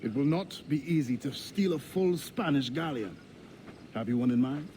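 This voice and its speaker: Actor as a mellow, measured pirate quartermaster. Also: male voice, medium pitch, lively intonation, slight background noise, lightly acted. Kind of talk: video game cutscene acting.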